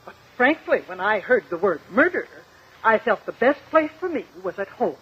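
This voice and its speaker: A prim, self-assured woman witness in a 1950s radio courtroom drama. Her voice is very husky and swings widely in pitch as she gives emphatic, defensive answers. The recording is dull vintage radio. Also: very animated, moderate pace. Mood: earnest, insistent.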